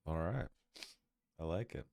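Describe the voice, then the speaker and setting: A man's voice: very deep voice, a little slow, vocal fry, dark tone, no room sound, clean studio sound. A man with a stuffy nose from a cold hosts a casual chat before a tabletop game, laid-back and joking. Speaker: host. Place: dark room at home.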